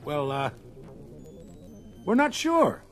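A placating air force major on a dramatised record. He hedges in official style, in a fairly high, lively voice with faint music behind. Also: drawn-out words, full character voice. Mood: placating, evasive. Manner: hesitant, official. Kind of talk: 1970s dramatised story record acting.

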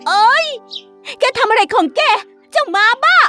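A woman's voice: very high voice, sing-song, a little slow, over music, full character voice. A woman voicing a scheming young witch posing as a princess, spoiled, imperious and demanding, in a children's fairy tale cartoon. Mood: furious.

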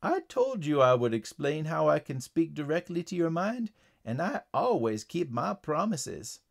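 A man voicing a mysterious, wise poison dart frog in a children's story, explaining in a measured manner. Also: on the high side, very animated, vocal fry, heavily acted. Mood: mysterious, explanatory.

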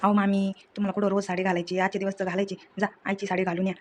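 A woman's voice: mid-range voice, flat delivery, very fast, slight background noise, natural voice. A woman playing an eager, insistent young man in a family comedy drama, speaking with a Maharashtrian Marathi accent. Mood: persuading. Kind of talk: acted family comedy dialogue.

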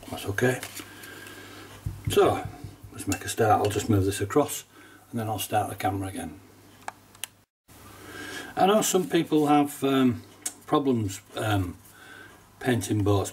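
A middle-aged man with a cold, sounding nasal, casually talking through a watercolour painting demonstration at his desk, relaxed and chatty with small laughs. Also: on the low side, moderate pace, far from the mic, slight background noise. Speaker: watercolour teacher. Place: painting desk at home, indoors.

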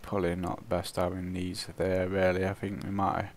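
A man with a croaky, cold-roughened voice, casually commentating his own gameplay and chuckling at his mistakes. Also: low-pitched, monotone, normal speed, slight background noise. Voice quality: croaky, gravelly. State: hoarse from a cold. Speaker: let's play host.

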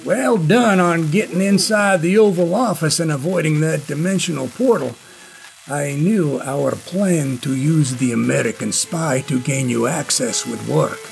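An actor playing a formal, measured military colonel who is secretly a Soviet agent, in a radio play, with a gravelly voice. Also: male voice, fairly high pitch, soft background music. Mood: pleased, conspiratorial.

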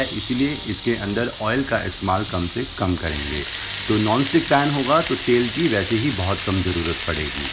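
A man's voice: medium pitch, poor audio. A young man hosting a cooking channel, explaining a recipe step by step and slipping English phrases into his talk. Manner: instructive, mixes English phrases into Hindi. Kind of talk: recipe demonstration voice-over. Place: home kitchen with brick wall.

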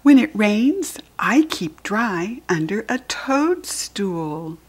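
An American woman reads a children's story aloud at a very leisurely pace. Her voice is medium in pitch, soft and husky with plenty of breath in it. She speaks gently to young listeners, explaining as she goes. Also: very animated, very slow. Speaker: children's book reader.

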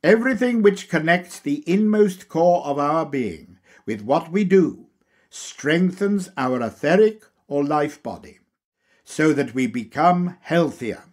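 A man reading a lecture aloud as scripted narration, in a measured, deliberate, explanatory manner. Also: a little high, lively intonation. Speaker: audiobook reader.

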